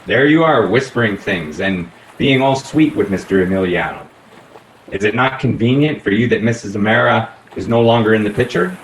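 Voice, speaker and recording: A man playing a shrewd, accusatory police inspector in a radio play, speaking with deliberate drama. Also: lowish voice, expressive, lightly acted. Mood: insinuating. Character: shrewd, theatrical police inspector unveiling a murder. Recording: roomy, faint background noise.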